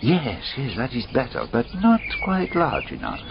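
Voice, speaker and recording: An actor playing a shrewd, methodical foreign detective in a radio drama, deliberate and courteous, musing aloud in rhetorical questions. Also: male voice, medium pitch, huge pitch swings. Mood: pondering, explaining. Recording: dull radio recording, clearly noisy background.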